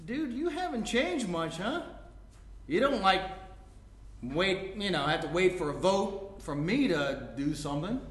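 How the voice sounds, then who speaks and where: A man acting out a cocky, athletic big brother in a live comedic play reading. His voice sits high for a man, and he talks in mocking, slangy jabs. The room around him is noticeably echoey. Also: sing-song, unhurried. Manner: slangy, mocking, jock-like delivery. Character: brash, taunting, athletic man of thirty-two, needling younger brother. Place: indoor room before a live audience. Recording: roomy live stage recording.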